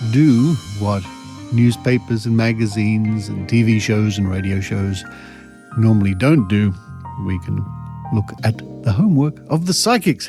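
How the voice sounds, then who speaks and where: A man's voice: medium pitch, a little mellow. An Australian man hosting a podcast, commenting conversationally and reading aloud with dry, skeptical asides. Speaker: podcast host.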